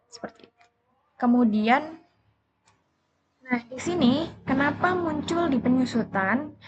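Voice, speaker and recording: A young woman with an Indonesian accent presents as a student, explaining to a class in a moderately high voice. The recording is slightly muffled and lo-fi, with a light layer of noise. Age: young adult. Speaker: university accounting student presenter. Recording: muffled lo-fi audio, faint background noise.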